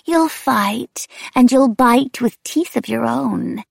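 Woman acting a taunting wraith, female, uncanny, breathy and sing-song, raised pitch, audiobook fantasy villain. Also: slow pace, dry recording, exaggerated voice. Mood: menacing glee.

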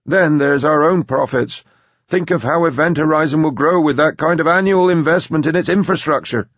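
A man's voice: a little high, moderate pace, no room sound, highs cut off, voice pushed up. A man voicing a blunt, domineering old tycoon grandfather with a sardonic, emphatic manner in an audiobook. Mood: gleeful. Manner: blunt, emphatic, mildly coarse.

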